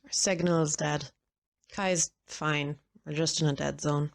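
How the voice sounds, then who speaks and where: Woman voicing a sardonic crew member, lowish voice, dry delivery, audio drama. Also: steady tone, a little slow, vocal fry. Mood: calm.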